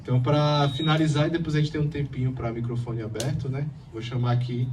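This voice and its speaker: A man's voice: medium pitch, steady tone, quick pace, darkish tone. A man moderating a university panel, casually introducing the next speaker. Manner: casual and conversational, with tag questions. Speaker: event moderator. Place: event hall at a book fair. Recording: distant mic with noticeable room noise.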